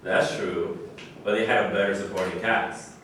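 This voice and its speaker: A man's voice: sits low, steady tone, moderate pace, fairly bright tone. A man in a staged reading, playing a proud but dissatisfied former basketball star. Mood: proud, frustrated. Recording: echoey room from far off, faint noise.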